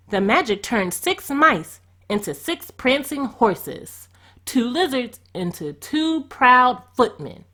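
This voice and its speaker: A woman reads a fairy tale aloud as a storyteller. Her mid-pitched voice moves with lively, expressive swings that shape each sentence.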